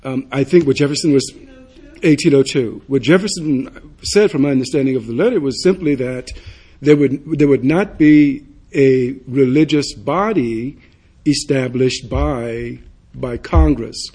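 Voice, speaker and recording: A man, a student on a panel at a public forum, speaking haltingly and restarting words. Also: medium pitch, dark tone, husky.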